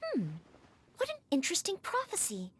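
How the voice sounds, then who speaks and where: A woman voicing a gentle, studious young woman in a video game, polite and considerate. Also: very high voice, huge pitch swings, moderate pace, slight background noise, full character voice. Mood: thoughtful. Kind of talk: animated video game acting.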